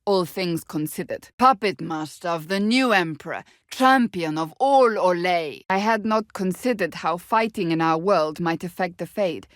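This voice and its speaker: Actress doing a stern devout warrior lady from a fantasy game, lowish voice, formal and blunt, proud, dry studio sound. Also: expressive, moderate pace, few pauses, dry recording, natural voice. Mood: solemn, formal. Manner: formal, blunt, earnest diction. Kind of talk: video game character dialogue.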